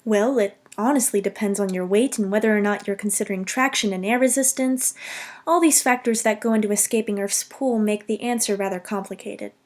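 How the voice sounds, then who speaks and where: A woman's voice: medium pitch, fairly quick, a little mellow. A young woman narrating a scripted, humorous video game commentary in a self-deprecating, mock-dramatic way.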